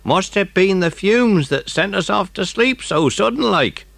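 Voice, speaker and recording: A man's voice: high-pitched, lively intonation, unhurried, gravelly, fairly bright tone, no room sound, voice pushed up, heavily acted. Man doing a mopey puzzled policeman sidekick, raised voice, kids story audiobook. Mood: doleful. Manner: doleful, puzzled. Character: doleful second policeman, sleepy baffled sidekick.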